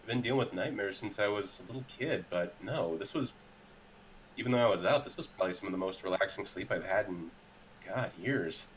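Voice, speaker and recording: A man's voice: on the low side, fairly quick. A man roleplaying casually in a tabletop game, hesitant and thinking aloud as he talks. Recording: clearly noisy background.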